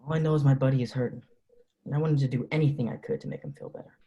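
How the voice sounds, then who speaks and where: A man's voice: medium pitch, steady tone, very fast, dark tone, highs cut off, close to an everyday voice. A man reading a teenage boy who speaks wryly to the camera in a script table reading. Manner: conversational, wry, musing. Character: teenage boy, loyal friend, talks to the camera. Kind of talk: table-read script acting, direct-to-camera asides.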